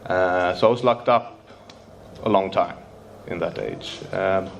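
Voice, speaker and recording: A man from Sweden with a Swedish accent, Swedish his first language, sharing his recovery story at a meeting, nervous and halting as he searches for English words. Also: deep voice, gravelly, dull audio, slight background noise.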